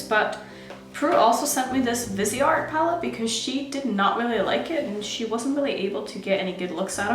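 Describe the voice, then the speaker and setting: A woman's voice: a little high, fairly bright tone, reverberant. A woman who grew up in Norway, chatting casually while she does her makeup for a beauty vlog. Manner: chatty, self-narrating while applying makeup. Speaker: beauty vlogger.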